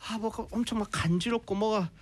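A man co-hosting a live stream, speaking Korean and switching into slow, clear English to explain things to viewers. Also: high voice, steady tone, no room sound. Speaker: co-host teaching English.

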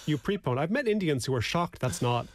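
A man co-hosting a casual studio podcast chat, explaining word origins in a lively way with plenty of playful asides. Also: fairly high pitch, fairly quick, no room sound. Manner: explanatory, lively, likes wordplay. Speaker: co-host. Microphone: studio mic.

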